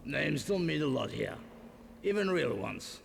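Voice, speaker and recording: An actor playing a shady male underworld fixer in a video game, with a deep gravelly voice and a guarded, curt, menacing manner. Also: big pitch swings, a little slow, full character voice. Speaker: character in a video game cutscene. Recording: game audio with long reverb and noise.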